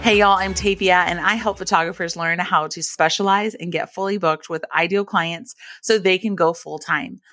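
A woman in her thirties hosting a casual solo podcast, her voice deep and scratchy from allergies, talking in a chatty, friendly way. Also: steady tone, normal speed, few pauses, fairly bright tone, dry recording, soft background music. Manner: chatty, friendly, uses y'all and like. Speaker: podcast host.

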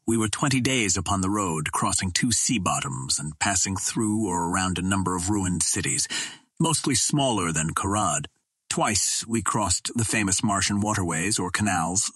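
A man narrating an adventure novel as an audiobook in a measured, steady storytelling manner. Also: fairly low pitch, husky, dry recording.

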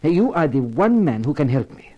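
An actor playing an anxious, courteous Frenchman, speaking gravely and hesitantly in a 1950s radio drama. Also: fairly high pitch, sing-song, fairly quick, highs cut off. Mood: grave, pleading. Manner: grave, hesitant, polite, earnest. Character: anxious, courteous Frenchman seeking his lost sister.

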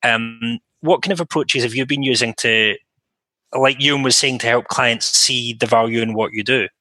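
A man from the UK puts measured, thoughtful questions as an interview host. His voice is medium-pitched and bright, with a forward edge. The recording is dry and close. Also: no echo, rough recording. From United Kingdom. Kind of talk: conversational interview.

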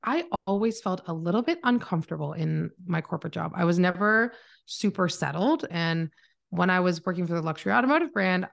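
A Canadian woman in her thirties speaks in a slightly low voice with very heavy vocal fry. She tells stories informally and conversationally, as a guest being interviewed on a podcast. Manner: informal, chatty, self-narrating. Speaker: podcast guest, marketing mentor.

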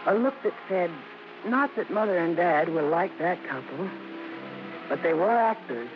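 An American woman playing a grown daughter who narrates family memories in a measured old radio drama style. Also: lowish voice, expressive, lightly acted. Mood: reflective. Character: grown daughter fondly recalling her show-business family. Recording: muffled, noisy old radio broadcast.

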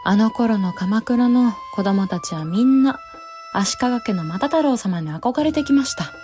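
A woman's voice: fairly high pitch, lively intonation, measured pace, warm tone, soft background music. A woman playing a spirited, forthright young samurai bride in a Japanese period audio drama, candid yet politely archaic in speech. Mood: admiring, forthright.

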